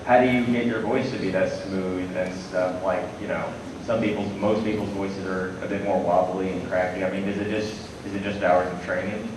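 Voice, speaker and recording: A man's voice: sits low, steady tone, moderate pace, hardly any pauses, far from the mic, noisy background. Rambling male fan in an echoey hall. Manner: rambling, filler-heavy. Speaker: audience questioner. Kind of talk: fan asking a question.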